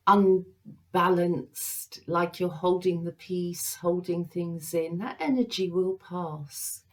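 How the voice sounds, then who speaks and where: Slow-spoken woman giving a tarot reading. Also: fairly low pitch, a little hiss or hum. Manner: slow, halting, with many ums and pauses; gentle, reassuring. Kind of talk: unscripted tarot reading for viewers.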